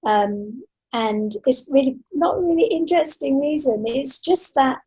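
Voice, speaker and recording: A middle-aged British woman giving thoughtful, halting answers as a guest in a casual interview. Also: sits high, animated, warm tone, rough recording. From Britain. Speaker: podcast guest, science fiction author.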